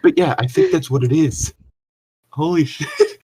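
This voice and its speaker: A man's voice: on the high side, huge pitch swings, unhurried, warm tone, breathy edge. A man casually chatting and reading horror stories aloud as a podcast co-host, easily sidetracked and swearing freely.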